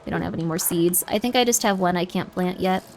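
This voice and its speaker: A woman's voice: lowish voice, fast talker, vocal fry. A woman chatting casually and playfully on a livestream, reacting aloud as she plays a game. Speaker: streamer. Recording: prominent game music behind. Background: video game music.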